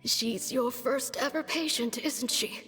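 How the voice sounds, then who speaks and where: An old witch doctor from a video game, stubborn and ailing. She speaks very slowly in a fairly high voice, grave and wry. Music plays under long reverb. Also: bright, forceful tone. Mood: resolute. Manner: weary, grave, measured, wry. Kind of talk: dramatic video game voice acting.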